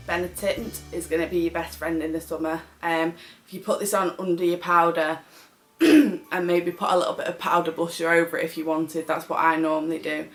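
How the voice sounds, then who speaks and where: A British woman chatting casually as a beauty vlogger reviewing products. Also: fairly low pitch.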